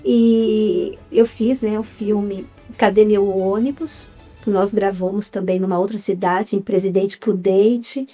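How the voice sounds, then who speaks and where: A woman's voice: mid-range voice, steady tone, takes it slowly, warm tone, faint music behind. A woman with a Brazilian accent telling stories conversationally as an interview guest, reflective and often checking in with the listener. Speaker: interview guest, writer and actress. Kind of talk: casual conversational storytelling.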